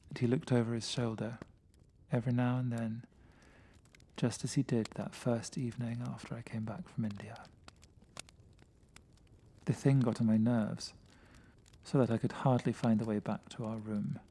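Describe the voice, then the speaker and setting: A man with a mid-pitched voice reading a first-person gothic story as dramatic narration. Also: mellow, a little hiss or hum. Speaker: story reader.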